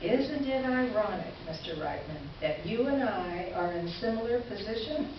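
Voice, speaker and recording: A woman playing a wry, articulate columnist who reads her formal letters aloud in reader's theater with measured, pointed irony. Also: medium pitch, expressive, close to an everyday voice. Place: indoor live stage presentation. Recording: echoey room, distant mic, muffled, noisy.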